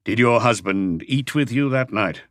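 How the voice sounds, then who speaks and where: A man voicing a calm police chief inspector, asking probing questions in a measured, unhurried way in an audiobook. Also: mid-range voice, dry recording, clean studio sound, lightly acted.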